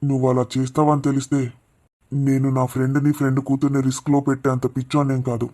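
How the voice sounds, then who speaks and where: A man's voice: mid-range voice, completely flat delivery, fast, darkish tone, natural voice. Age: adult. Sex: a man playing an upright, indignant father. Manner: firm, indignant rebukes. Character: upright father, protective of his friend's daughter. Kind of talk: melodramatic audio drama.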